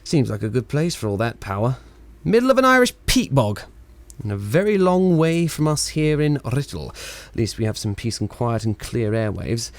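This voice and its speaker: A man's voice: mid-range voice, sing-song, moderate pace, dry recording. Wry wireless engineer, acted by a man. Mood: wry, amused. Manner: wry, rambling, exasperated, theatrically flippant. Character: witty, sardonic, reluctant male wireless engineer of the 1920s. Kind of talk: radio play acting.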